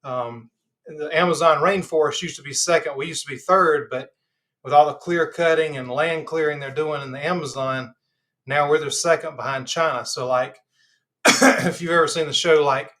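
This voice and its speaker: A Southern man from Georgia, stuffed up in the nose, hosting a live health chat in a relaxed, rambling way with lots of fillers. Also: on the high side. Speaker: host of a live health channel. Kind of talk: casual livestream chat.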